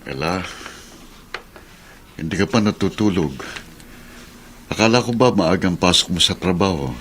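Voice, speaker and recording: Deep-voiced, patient middle-aged uncle. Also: male voice, lively intonation, a little slow. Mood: gentle, reassuring. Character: patient middle-aged uncle, kindly guardian of orphaned relatives. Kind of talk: Filipino radio drama acting. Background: background music.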